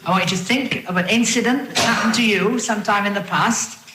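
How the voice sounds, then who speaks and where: An actor playing a stern, sarcastic schoolteacher in a naturalistic film drama, scolding and questioning a class. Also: male voice, high voice, fairly quick, lightly acted. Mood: probing. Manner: scolding, interrogating. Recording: echoey noisy classroom film soundtrack.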